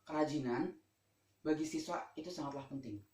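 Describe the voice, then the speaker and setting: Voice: medium pitch, moderate pace, a little mellow. A teenage student presenting a school vlog informally and emphatically, often putting questions to the listener. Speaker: student vlogger. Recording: echoey room.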